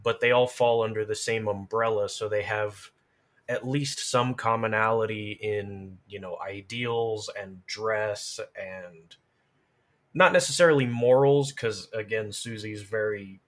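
A man's voice: fairly low pitch, a little slow, a bit bright. A man chatting casually, explaining his thoughts in a reflective, informal way. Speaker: podcast co-discussant on game lore. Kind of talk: casual chat.

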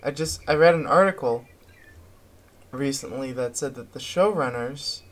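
A man co-hosting a podcast, chatting casually about pop culture in a halting way with drawn-out words. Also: mid-range voice. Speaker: podcast co-host.

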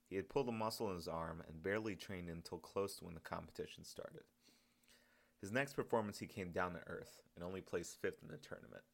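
A man's voice: low voice, creaky. A man reading his own story as scripted audiobook narration.

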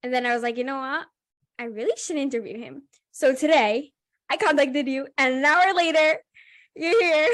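A woman's voice: high-pitched, moderate pace, a bit bright. A young woman hosting a podcast, running a casual interview in an enthusiastic, upbeat way. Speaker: podcast host.

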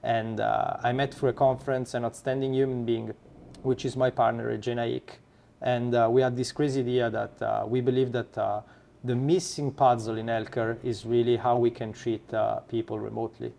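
A man with an Italian accent, a startup founder being interviewed, who talks haltingly with many fillers and restarts. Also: medium pitch, little pitch movement, gravelly, warm tone. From Italy. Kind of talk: podcast interview.

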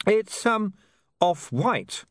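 Man acting a hesitant, polite newspaperman, raised pitch. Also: sing-song, extremely slow, dry recording, studio quality, exaggerated voice. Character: polite, hesitant young newspaperman, earnest and persistent. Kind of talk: audiobook character voice.

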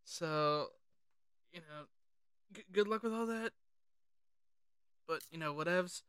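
A podcast co-host talking casually and informally about films, animated and sweary. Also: mid-range voice, takes it slowly, clean tone, no room sound, studio quality. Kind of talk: casual film discussion.

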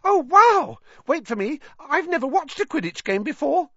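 A man's voice: very high-pitched, sing-song, bright, forceful tone, dry recording, muffled recording, pitched above the performer's own voice, full character voice. A man voicing an eager, starstruck young schoolboy who chatters breathlessly and gushes with questions in an audiobook. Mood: eager, excited. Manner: breathless, gushing, rapid questioning.

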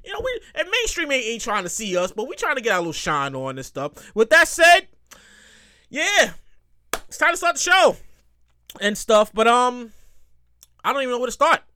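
A man's voice: very high voice, moderate pace, long pauses. A man in his thirties hosting a casual solo podcast, rambling and cursing as he repeats himself. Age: 30s. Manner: animated, acts out quotes.

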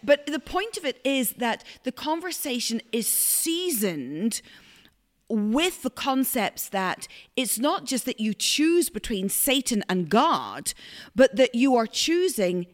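A woman with a big, loud voice, co-hosting a podcast and telling lively stories. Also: on the high side, takes it slowly. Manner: big loud voice, animated storyteller. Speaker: podcast co-host. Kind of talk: casual storytelling chat.

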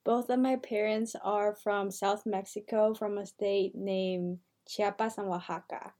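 A woman's voice: medium pitch, fairly even intonation, a little slow, warm tone. An eighteen-year-old woman co-hosting a podcast, talking in a chatty, casual way with lots of "like" fillers. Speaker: podcast co-host, university freshman.

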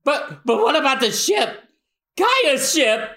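A man voicing an excitable, loud young pirate sidekick from a comic, yelping with urgency. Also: extremely high pitch, takes it slowly, roomy sound, full character voice. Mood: excited, urgent.

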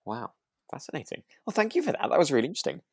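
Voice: mid-range voice, huge pitch swings, racing, slightly rough. A podcast co-host speaks in a very dry, echo-free recording. The delivery is casual and agreeable, tentative in places, as if working out each thought while talking. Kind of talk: casual chat.

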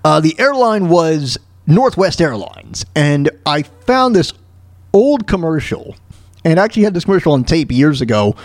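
A man hosting a podcast, ranting in a halting, repetitive, exasperated way with casual, opinionated talk. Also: sits high, no room sound, studio quality. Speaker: podcast host.

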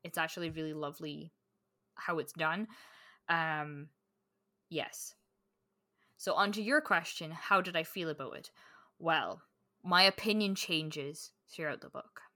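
A woman's voice: low voice, measured pace, pauses often, pushed and bright, dry recording, clean studio sound. A woman co-hosting a podcast in a casual book chat, talking candidly with swearing and frequent self-interruption. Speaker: podcast co-host.

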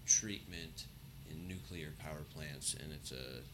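A middle-aged engineering professor talking casually in a university lab, with a low, mumbling voice that halts and restarts. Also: male voice, heavy background noise. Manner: halting, restarts and trails off. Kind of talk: casual conversation. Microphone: shared podcast mic.

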